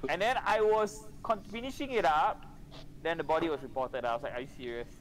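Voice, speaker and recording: A man on a game voice chat tells what just happened to him with animated energy. His voice sits a bit high for a man. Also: brisk, a little hiss or hum, poor audio. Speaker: game player. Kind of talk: casual voice chat.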